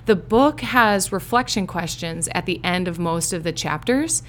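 A woman's voice: fairly low pitch, expressive, unhurried, a bit bright, slight background noise. A woman with a Chicago accent, a life coach chatting as a guest on a podcast in an upbeat, emphatic way. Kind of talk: casual podcast chat.

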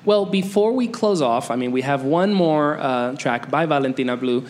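A man's voice: fairly high pitch, moderate pace. A man hosting a music podcast, chatting casually with slang and lots of fillers. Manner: relaxed, laid-back, lots of fillers and slang. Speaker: podcast host. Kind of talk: casual interview chat.

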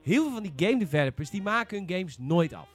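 A man's voice: high-pitched, very animated, fast talker, faint music behind. A man with a Netherlandic Dutch accent hosting a casual podcast, arguing his opinions informally with some swearing. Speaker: podcast host.

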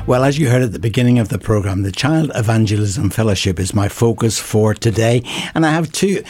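A man hosting a conversational radio interview in a studio, with a husky voice. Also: lowish voice, expressive, fairly quick, darkish tone, faint music behind. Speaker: radio host.

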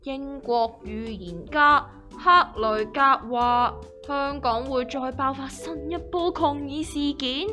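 A woman's voice: sits high, slow pace, bright, forceful tone, soft background music, full character voice. An actress with a Hong Kong accent playing a cheeky, easily alarmed young woman in a comedic radio drama, playful and exclaiming.

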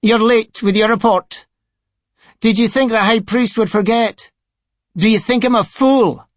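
A man's voice: high-pitched, expressive, takes it slowly, fairly bright tone, dry recording, voice pushed up, heavily acted. A man voicing a sneering, over-dramatic sycophantic cleric in an audiobook, with a screechy manner. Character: shrill sneering sycophantic cleric, prone to theatrics. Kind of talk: audiobook character voice.

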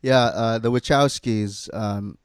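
A man hosting a podcast in casual, halting chat peppered with profanity. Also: fairly low pitch, clear, resonant tone, no room sound, studio quality. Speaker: podcast host.

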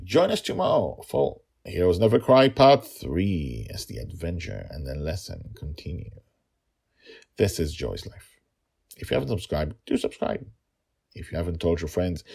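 A man with a non-native English accent that catches on L's and R's, telling a story in a theatrical, emphatic, husky way as narrator. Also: low voice, mellow. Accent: non-native English accent, trouble with L's and R's. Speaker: host, writer and narrator of a serial story podcast.

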